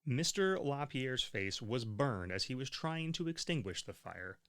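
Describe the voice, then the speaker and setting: Male narrator for a historical audio tour. Scripted storytelling, measured delivery, very animated intonation. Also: medium pitch, clean tone.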